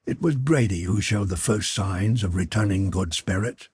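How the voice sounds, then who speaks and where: A man's voice: on the low side, moderate pace, a little mellow, husky, no echo, clean studio sound. A man narrating an audiobook in a scripted literary storytelling style.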